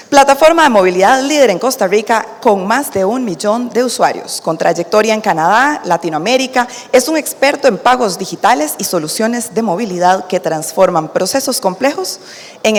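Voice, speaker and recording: A woman hosting a conference, making brisk announcements and introducing the next speaker, with a habit of saying "este" as a filler. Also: mid-range voice. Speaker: event host. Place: conference hall. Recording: long hall reverb from a conference room.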